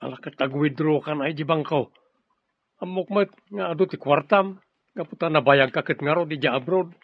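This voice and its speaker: A resentful Filipino kid brother in a radio drama, always bristling at nagging. His voice is high and husky, recorded dry and muffled. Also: normal speed, no echo. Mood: pleading, demanding. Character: irritable, debt-ridden younger brother, resentful of nagging. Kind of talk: Ilocano radio drama acting.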